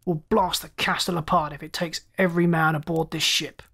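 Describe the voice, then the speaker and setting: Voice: medium pitch, fairly even intonation, fairly quick, creaky, sharp tone. A narrator voicing a stern, hot-tempered male spaceship captain, barking orders in a science fiction story. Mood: angry, commanding. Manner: commanding, thundering orders.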